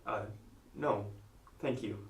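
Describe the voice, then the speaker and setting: An actor playing a polite college student who is interviewing people on camera. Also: male voice, on the low side, takes it slowly, creaky, echoey room. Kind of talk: film dialogue.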